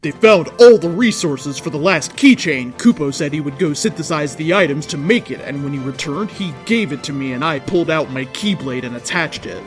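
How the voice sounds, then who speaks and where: A man's voice: a little high, expressive, moderate pace, hardly any pauses, soft background music. A man reading fan fiction aloud as narrator, in a theatrical, mock-earnest comic style. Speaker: story reader.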